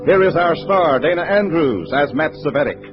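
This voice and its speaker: A man's voice: on the high side, lively intonation, normal speed. A man announcing a 1950s radio drama in an urgent, emphatic, projected delivery. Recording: muffled vintage radio recording. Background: dramatic music.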